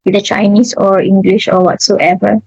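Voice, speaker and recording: A woman interviewing a fellow student, asking questions slowly and hesitantly. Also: medium pitch, level tone, darkish tone, husky, no echo, dull audio. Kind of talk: class interview.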